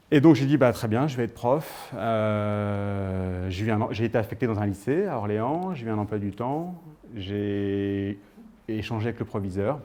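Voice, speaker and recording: A man's voice: lowish voice, a little mellow. A man of thirty-nine, giving an informal personal talk to an audience in a hesitant storytelling manner. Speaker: guest speaker.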